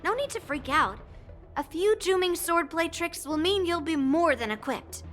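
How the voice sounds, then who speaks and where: A woman's voice: very high-pitched, expressive, moderate pace, fairly bright tone, soft background music. A woman voicing a cocky, teasing young girl swordmaster in a game, with a blunt, smug manner. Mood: condescending. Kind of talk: game cutscene voice acting.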